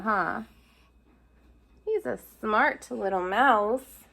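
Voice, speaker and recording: Woman teacher, storybook read-aloud for kids, slightly high voice, chatty asides. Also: fairly bright tone. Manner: explains pictures with asides to young listeners.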